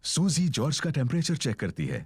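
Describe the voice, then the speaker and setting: A man's voice: fairly high pitch, huge pitch swings, brisk, darkish tone, breathy edge, no echo. A man narrating a children's cartoon story in simple, scripted storytelling sentences. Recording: music behind the narration.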